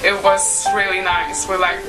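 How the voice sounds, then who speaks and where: A woman from Lithuania, answering a street interview hesitantly with many pauses. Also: medium pitch, flat delivery. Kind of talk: vox pop interview answer. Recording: distant mic, loud background music.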